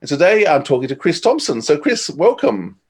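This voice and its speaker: A man's voice: on the high side, sing-song, moderate pace, slightly rough. A man with an Australian accent, hosting an interview podcast in a conversational style. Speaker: podcast host.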